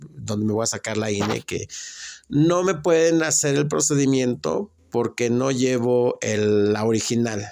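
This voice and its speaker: A man's voice: mid-range voice, gravelly, a little mellow. A man with a Mexican accent giving a casual, conversational personal monologue and recounting exchanges in direct speech. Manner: conversational, acts out remembered exchanges. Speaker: speaker sharing personal experience.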